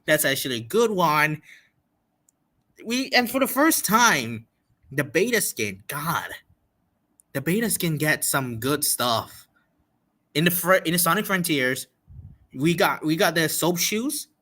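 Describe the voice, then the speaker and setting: A man hosting a casual livestream reaction, talking in an excitable, repetitive and emphatic way. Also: on the high side, measured pace, pauses often. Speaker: livestream host reacting to a game event.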